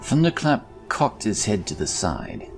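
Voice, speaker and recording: Male fantasy narrator: very low, creaky voice, expressive storytelling over music. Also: unhurried.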